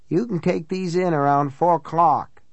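Man acting an older country housekeeper woman, raised pitch, creaky, folksy, gossipy, matter-of-fact, audiobook dialogue. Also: steady tone, moderate pace, dry recording. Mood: brisk, blunt.

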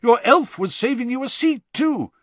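A man voicing an excitable, astonished gentleman who blusters out flustered questions, in a storybook reading. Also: very high voice, normal speed, dry recording, pitched above the performer's own voice.

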